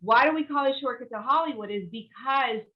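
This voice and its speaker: A woman's voice: high-pitched, brisk. Chatty Venezuelan-born woman guest, muffled. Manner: warm, chatty, with hesitant fillers. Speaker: guest, actress and producer. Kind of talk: interview conversation.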